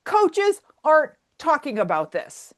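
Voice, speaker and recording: A woman's voice: sits high, huge pitch swings, a little slow. A woman, a fitness coach talking casually and bluntly on a webinar, full of fillers and casual swearing.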